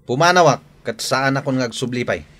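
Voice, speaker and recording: A man's voice: medium pitch, brisk, a bit bright, slight background noise, lightly acted. A man with an Ilocano accent playing a rude, sullen grown son in a radio drama, curt and snapping. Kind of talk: Filipino radio drama acting.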